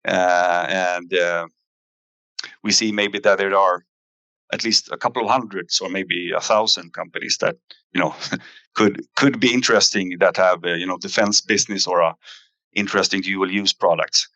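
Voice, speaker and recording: A man giving a company investor presentation in a halting way, pausing often with fillers. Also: sits low, steady tone, sharp tone, dry recording. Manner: halting, hesitant delivery with frequent fillers. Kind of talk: investor earnings presentation, semi-scripted.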